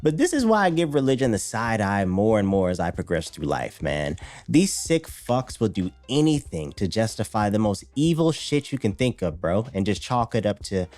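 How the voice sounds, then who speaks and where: A man's voice: on the low side, sing-song, normal speed, hardly any pauses, darkish tone, soft background music. A man hosting a commentary channel, blunt, profane and mocking as he reacts to clips.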